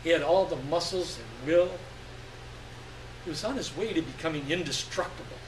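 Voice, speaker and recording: A man giving an expressive live reading of literary fiction, with deliberate phrasing and dramatic pauses. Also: on the high side. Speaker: story reader. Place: live reading event indoors. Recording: echoey room, distant mic, faint hum.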